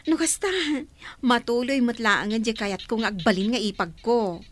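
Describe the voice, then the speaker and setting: A woman's voice: fairly high pitch, normal speed, lightly acted. A woman playing a playful, nosy, teasing younger sister and confidante in a radio drama. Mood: curious, teasing. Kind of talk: Ilocano radio drama acting.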